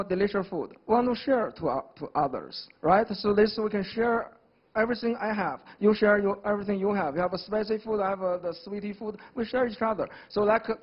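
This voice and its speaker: A male professor, not an English speaker, answering on a panel in halting phrases with homely analogies. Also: high voice, breathy edge, muffled recording. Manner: halting, homely food analogies, many fillers. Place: TV studio.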